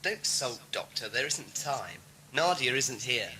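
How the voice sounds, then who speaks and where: A man playing an earnest, anxious companion in an audio drama, urgent and quick to protest. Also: medium pitch, animated, very noisy, lightly acted. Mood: urgent, pleading. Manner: urgent, indignant. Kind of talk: fan audio drama acting.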